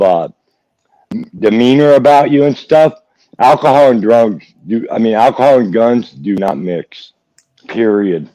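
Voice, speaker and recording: A man's voice: medium pitch, mellow, rough recording. A man from West Virginia co-hosting a live true-crime chat, folksy and repeating himself for emphasis. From West Virginia, United States. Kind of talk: casual opinionated chat.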